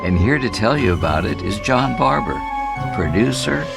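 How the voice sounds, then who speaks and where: A man's voice: mid-range voice, expressive, moderate pace, vocal fry. A man announcing a scripted introduction to a radio show. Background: loud music.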